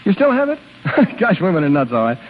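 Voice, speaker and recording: A man's voice: high-pitched, wildly swooping pitch, a little fast. A man acting a breezy, oblivious husband and teasing uncle in a 1930s radio drama, jovial, slangy and brusque. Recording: muffled, hissy 1930s radio broadcast.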